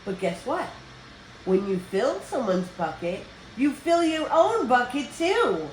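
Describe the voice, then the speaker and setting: An older woman reading a children's picture book aloud as a storyteller, with expressive, deliberate phrasing for young listeners. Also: a little high. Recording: roomy echo with background noise.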